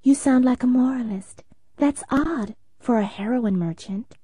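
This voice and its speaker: A man voicing a poised, sharp-tongued young female film star in an audiobook, with quick, teasing retorts. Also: extremely high pitch, breathy edge, dry recording, studio quality, pitched above the performer's own voice, exaggerated voice. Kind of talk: audiobook character voice.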